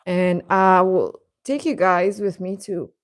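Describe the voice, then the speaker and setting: A woman with a slightly low voice hosts a casual livestream. She talks slowly, with a hesitant, wandering delivery that stops and restarts as she addresses her viewers. The sound is close and dry, with little room around it. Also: no room sound. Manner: hesitant, rambling, stop-and-start delivery. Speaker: livestream host.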